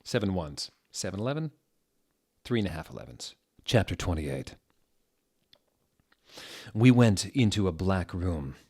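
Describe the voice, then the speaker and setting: Man, low pitch, slow pace, theatrical storytelling, deliberate mock-serious delivery. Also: big pitch swings, a little mellow, slightly rough. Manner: deliberate, dramatic delivery that mocks the misspellings. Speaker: narrator. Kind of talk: theatrical dramatic reading of fan fiction.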